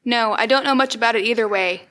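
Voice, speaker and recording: A woman's voice: on the high side, quick pace, a bit bright, close to an everyday voice. A woman playing a callous, gossiping businessperson, offhand and dismissive, in an audio drama. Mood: flippant.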